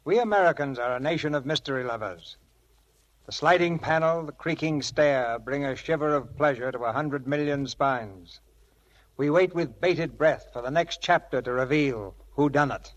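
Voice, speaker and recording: A man presenting scripted 1940s radio theatre as host and narrator, with grand, measured showmanship. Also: on the high side, low-quality recording. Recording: vintage radio broadcast with music.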